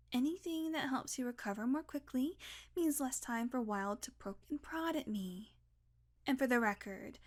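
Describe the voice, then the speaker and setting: Woman doing a snarky vampire lady, kinda high voice, teasing and sweary, secretly caring, close-up audio roleplay banter. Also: close to an everyday voice. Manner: sardonic, casual, swearing banter. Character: snarky, teasing female vampire, centuries old, grudgingly caring. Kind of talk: intimate audio roleplay addressed to the listener.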